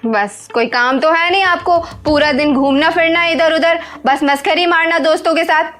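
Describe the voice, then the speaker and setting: A woman's voice: extremely high pitch, moderate pace, a bit bright, soft background music. A woman playing a haughty, bossy daughter-in-law in a Hindi drama, scolding and demanding. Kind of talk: Hindi moral short-film acting.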